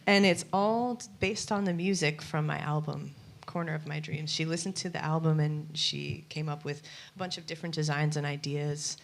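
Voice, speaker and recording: A woman hosting an audience question-and-answer session at a live event, speaking in a relaxed, chatty way. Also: low-pitched, normal speed, creaky, hardly any pauses. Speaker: event host and performing musician.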